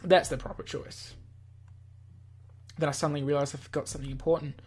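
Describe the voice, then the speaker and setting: Slightly husky gaming host reading aloud. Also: medium pitch, flat delivery, fairly quick. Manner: stumbles over words, self-correcting, playful. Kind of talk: reading a visual novel aloud with asides.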